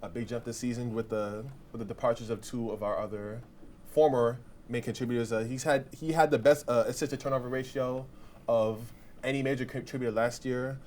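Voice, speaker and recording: Halting male sports panelist, faint noise. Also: mid-range voice, fairly even intonation, a little mellow. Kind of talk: casual sports discussion.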